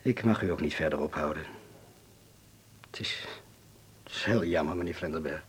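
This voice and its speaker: An old radio play with faint rumble: a grave man with a very deep voice. He speaks formally and in measured phrases, with dark wryness. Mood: grave, wry. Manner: measured, formal, darkly wry. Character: grave, wry, formal wartime visitor. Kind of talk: radio drama acting.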